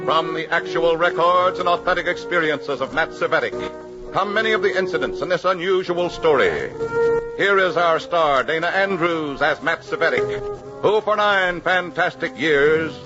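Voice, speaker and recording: A man's voice: on the high side, expressive, measured pace. A man announcing a 1950s radio drama in a formal, emphatic style. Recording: muffled 1950s radio recording. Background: loud brass music.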